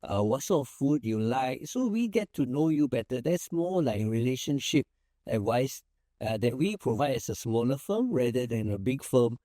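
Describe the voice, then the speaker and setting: A man's voice: mid-range voice, big pitch swings, normal speed, mellow, dry recording. A Malaysian man, a small firm's managing partner, answering interview questions in an easygoing, rambling way with many fillers and restarts.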